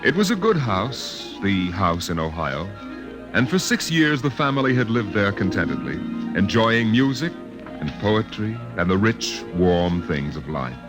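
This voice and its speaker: A man narrating a scripted story dramatically, in a measured literary storytelling manner. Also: on the low side, sing-song, over music.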